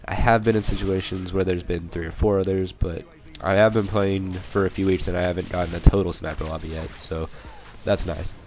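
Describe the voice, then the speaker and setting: A young man with a cold, hoarse and a little raspy, rambling through casual gameplay commentary with many fillers and restarts. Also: low voice, steady tone, brisk, hardly any pauses, music in the background. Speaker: gaming channel commentator.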